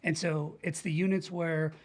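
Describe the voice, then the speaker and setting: A middle-aged man with an American accent and a fairly high voice talks in a casual interview style. He sounds earnest and informal, explaining things as a coach would, with quick everyday examples. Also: flat delivery, darkish tone. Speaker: podcast guest, leadership coach.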